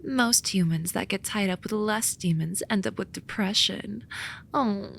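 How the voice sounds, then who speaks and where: Woman acting a female sloth demon, lowish-key snark, coaxing, gravelly softness, ASMR romance roleplay, faint noise. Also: unhurried. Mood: defensive. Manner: soft, coaxing, dryly sarcastic, sometimes whispery.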